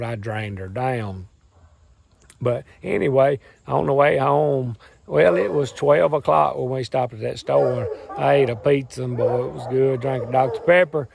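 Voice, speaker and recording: A hoarse, gravelly man with a Southern US drawl, filming himself on a phone at arm's length out in the woods. He talks in a loose, folksy ramble, upbeat and easygoing. Background chatter and noise carry around him outdoors. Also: medium pitch. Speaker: farm and hunting channel host. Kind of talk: casual vlog talk to camera. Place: outdoors in woods beside a truck. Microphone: phone camera at arm's length.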